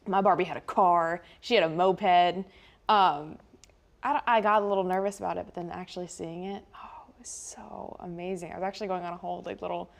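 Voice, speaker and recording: A woman's voice: lowish voice, steady tone, fairly quick, gravelly. Chatty American woman co-hosting a TV show. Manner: chatty, informal, frequent "like" and "oh my gosh". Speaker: TV news show co-host. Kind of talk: casual unscripted studio chat.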